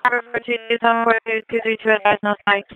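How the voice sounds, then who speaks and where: A woman's voice: a little high, flat delivery, rapid-fire, narrow, tinny audio, faint music behind. A woman working as a police radio dispatcher, repeating reports in clipped, procedural phrases over a scanner radio. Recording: noisy police scanner radio audio with clicks.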